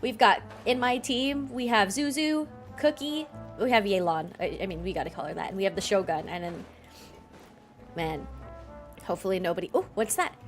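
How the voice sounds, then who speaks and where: A woman's voice: medium pitch, normal speed, faint music behind. A woman game streamer giving casual, playful live commentary and reading bits out.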